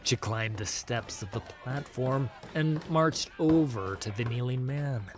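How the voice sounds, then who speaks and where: A man narrating a fantasy story as a dramatic audiobook, in a measured, solemn manner. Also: medium pitch.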